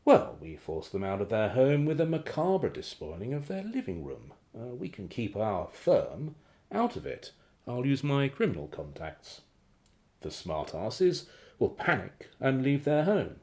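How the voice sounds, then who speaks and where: A man's voice: medium pitch, sing-song, measured pace, mellow. Wildly swooping, scheming henchman. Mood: sly scheming. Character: deferential, scheming male fixer with criminal contacts. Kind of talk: radio play acting.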